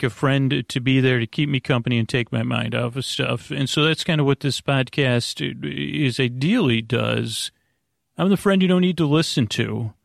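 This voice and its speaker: A man with a creaky, gravelly voice, hosting a podcast in a meandering, halting bedtime monologue that keeps interrupting itself. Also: medium pitch, no room sound, studio quality. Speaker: podcast host. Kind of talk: rambling bedtime monologue to help listeners sleep.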